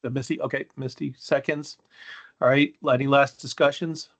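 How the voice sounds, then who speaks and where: A man's voice: medium pitch, steady tone, normal speed. A man chairing a board meeting in a plain-spoken, procedural but informal way, recorded on dull meeting audio. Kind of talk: informal running of a formal meeting.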